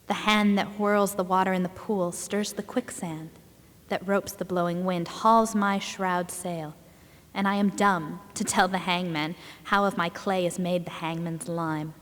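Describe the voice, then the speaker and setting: Low-voiced woman reciting solemnly in a hall. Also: steady tone. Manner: solemn, deliberate. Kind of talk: dramatic poetry recitation. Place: large indoor hall. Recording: reverberant hall.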